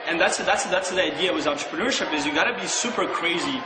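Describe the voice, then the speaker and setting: Young guy from russia, pumped up talking startups, echoey, loud background. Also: fairly high pitch, fast, heavy background noise. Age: young adult. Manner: energetic, enthusiastic storytelling. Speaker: interviewee, young entrepreneur. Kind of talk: casual interview answers.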